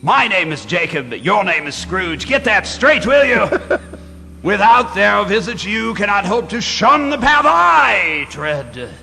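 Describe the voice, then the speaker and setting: A tormented ghost of a dead miser in a live radio play, wails and declaims in a high, gravelly voice. The delivery is mournful and theatrical, with a sharp edge. It rings in a long hall reverb with music behind. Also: male voice, sing-song, takes it slowly, heavily acted. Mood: solemn warning. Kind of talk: live radio drama acting.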